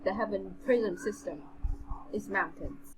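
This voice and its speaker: A woman with a mid-range voice co-hosts a casual read-along. She talks in a hesitant, rambling and earnest way, as if among friends. There is clear noise behind her. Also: medium pitch, unhurried. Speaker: podcast co-host reading a novel aloud. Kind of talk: casual chat and amateur read-aloud with commentary.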